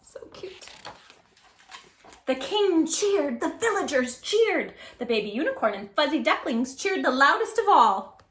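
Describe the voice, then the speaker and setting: A woman reading a picture book aloud to young children, with dramatic emphasis, hushed asides and questions to her listeners. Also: sits high, big pitch swings, unhurried, echoey room. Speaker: children's storytime host.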